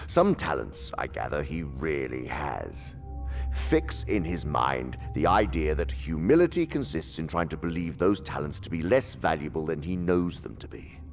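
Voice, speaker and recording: An actor playing a haughty, scheming senior demon who lectures in a pompous, theatrical, condescending way, in a radio drama. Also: male voice, big pitch swings, moderate pace, soft background music, exaggerated voice. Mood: lecturing, sly. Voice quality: very deep, gravelly.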